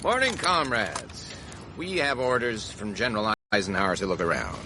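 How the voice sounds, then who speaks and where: An actor playing a laconic, unflappable American army operative in a postwar radio drama. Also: male voice, lowish voice, sing-song, normal speed. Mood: cool, brisk.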